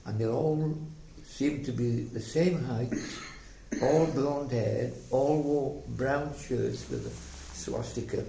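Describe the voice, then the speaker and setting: An older man from South Wales with a slight Welsh accent and a gravelly voice, telling his life story in a halting, reflective way for an oral history interview. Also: medium pitch, mellow. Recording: echoey room, faint background noise.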